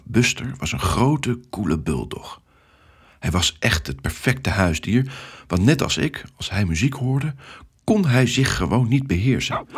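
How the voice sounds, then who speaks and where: A man's voice: lowish voice, husky. A man narrating a scripted first-person story for young children.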